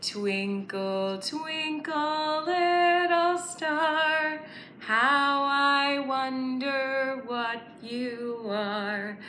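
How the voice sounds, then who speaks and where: Slow, bright, animated woman teaching preschoolers. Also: sits high, faint music behind. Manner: animated, enunciated, encouraging children to join in. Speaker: children's story time presenter. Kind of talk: preschool lesson with songs and counting rhymes. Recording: roomy echo, faint background noise.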